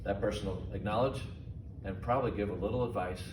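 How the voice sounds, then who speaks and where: Low-pitched man in an echoey room. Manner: slow, deliberate, simple phrasing. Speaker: safety team trainer giving instructions. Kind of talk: informal instructional demonstration. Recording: echoey, distant mic, noisy room.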